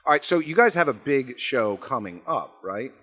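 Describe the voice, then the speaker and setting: A man's voice: mid-range voice, big pitch swings, moderate pace, a bit bright. A man hosting a casual interview, often repeating his phrases in affirmation. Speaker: interviewer, show host.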